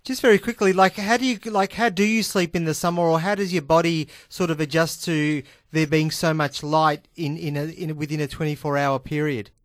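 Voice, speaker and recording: A man, a sports radio panelist, asking jokey, quick questions. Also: fairly high pitch, steady tone, dry recording, studio quality.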